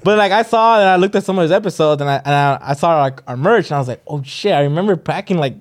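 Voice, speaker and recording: A man's voice: fairly high pitch, expressive, quick pace. A young man co-hosting a podcast, telling a story in laid-back slang-heavy casual chat. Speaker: podcast co-host.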